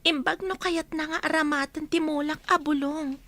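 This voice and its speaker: Young herb healer girl, really high voice, earnest, dry studio sound, radio drama. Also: steady tone, moderate pace, fairly bright tone, no echo. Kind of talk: Ilocano radio drama acting.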